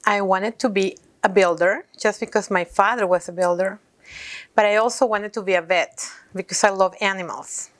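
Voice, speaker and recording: A woman from Peru who runs a roofing company speaks in an interview. Her voice sits at a middle pitch, and she speaks earnestly and reflectively. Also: steady tone, normal speed. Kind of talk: interview to camera.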